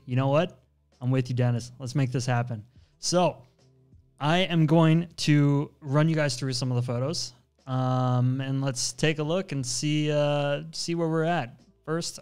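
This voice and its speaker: A young man with a fairly high, smooth and clear voice hosts a relaxed live stream on photo editing. He talks in an upbeat, slangy, chatty way and keeps reading out viewers' messages. He speaks close to a studio mic in a small room at home. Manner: upbeat, slangy, chatty, reads chat aloud. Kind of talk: casual live-stream tutorial. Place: home studio with brick wall, indoors. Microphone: studio mic on boom arm, headphones.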